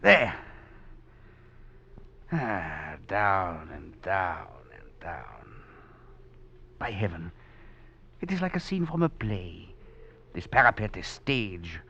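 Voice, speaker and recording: Low, theatrical, vengeful French fugitive. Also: male voice, a bit bright, husky, exaggerated voice. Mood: gloating, dreamy. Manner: theatrical, ironic, brooding. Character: bitter, sardonic, vengeful French fugitive with a knife. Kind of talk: 1940s radio drama acting and narration. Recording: dull vintage radio recording.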